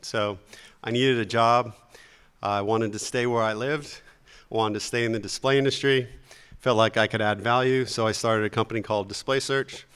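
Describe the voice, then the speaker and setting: A man's voice: fairly low pitch, fairly even intonation, normal speed, fairly bright tone, clean tone. A man on a conference panel, telling how he started his company in a plain, matter-of-fact way.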